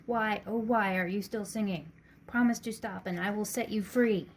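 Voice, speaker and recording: A woman's voice: medium pitch, steady tone, normal speed, pitched above the performer's own voice, close to an everyday voice. A blustering storybook mayor, played by a woman, bullying and easily exasperated. He wails, snarls and thunders in a raised voice. There is faint noise in the recording.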